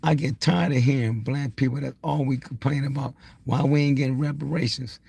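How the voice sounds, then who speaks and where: A man's voice: medium pitch, steady tone, fairly quick, creaky, warm tone, slightly rough, dry recording. A man around sixty giving a blunt, insistent motivational rant, unscripted, hammering points through repetition. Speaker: social media motivational speaker.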